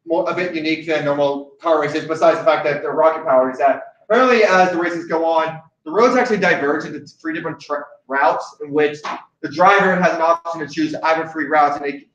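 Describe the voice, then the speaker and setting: A young man from Hawaii with a slightly high voice talks loosely as a host, trailing off and restarting his sentences. He sounds a little far from the mic in a roomy space, and the sound is somewhat dull. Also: brisk, a bit bright. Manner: rambling, many restarts and fillers. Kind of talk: casual chat. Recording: echoey room, distant mic, dull sound.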